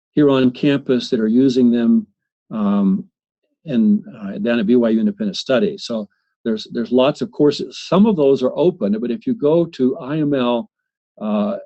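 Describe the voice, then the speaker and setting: A man presenting a software demo in a webinar, talking haltingly with many fillers and restarts. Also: medium pitch. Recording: slightly muffled webinar audio.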